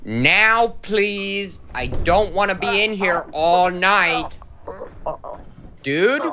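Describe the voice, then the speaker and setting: A man's voice: high-pitched, very slow, bright, forceful tone, full character voice. Man acting as trapped young man, noisy phone recording. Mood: annoyed, uneasy. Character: annoyed, increasingly panicked young man trapped in a bathroom. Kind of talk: found-footage horror acting. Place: small bathroom, indoors. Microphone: handheld phone.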